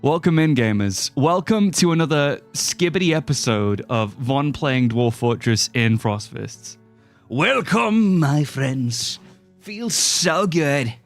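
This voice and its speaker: A man's voice: medium pitch, expressive, slow pace, soft background music. A playful young man livestreaming a game, greeting chat with a goofy manner and a slightly stuffy nose. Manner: goofy, playful, silly mispronunciations and shifting voices. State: slightly sniffly, stuffy-nosed. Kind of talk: casual stream chat, greeting chat and playing a game.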